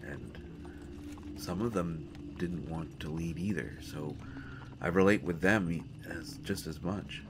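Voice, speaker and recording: A man playing a brooding young prince in a fantasy audio drama, speaking to the listener in a halting, earnest, dramatic way. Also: low-pitched, background noise. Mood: pensive, subdued. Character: brooding young male prince at war with his father. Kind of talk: fantasy roleplay audio acting addressed to the listener. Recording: music behind the voice.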